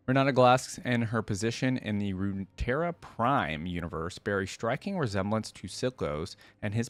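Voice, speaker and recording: A man hosting a reaction video, reading aloud and thinking out loud in a casual, conversational way. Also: on the low side, no room sound.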